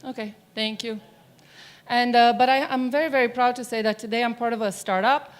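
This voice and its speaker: A woman's voice: on the high side, steady tone, a little fast. A middle-aged woman from Romania giving a conference keynote on stage, chatty and often asking the audience questions. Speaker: keynote speaker. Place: stage of a large conference hall. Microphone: mic on stage.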